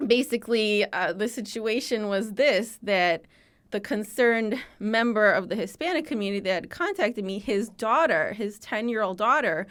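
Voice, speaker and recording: A woman's voice: mid-range voice, lively intonation, moderate pace, a bit bright. A woman in her forties from Chicago, telling her story as an interview guest and voicing the people she quotes. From Chicago, USA. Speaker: guest, archives founder.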